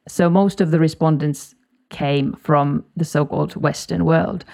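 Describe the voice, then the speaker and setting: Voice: mid-range voice, creaky, a little mellow. An interviewer whose first language is Finnish, asking thoughtful questions.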